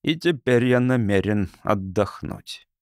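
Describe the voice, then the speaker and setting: A man's voice: on the low side, lively intonation, measured pace, clear, resonant tone, no echo. A man performs a sceptical, tipsy university man in an audiobook story. He is stubborn and ironically polite, his intonation jumping around. The sound is dry. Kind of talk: audiobook character acting.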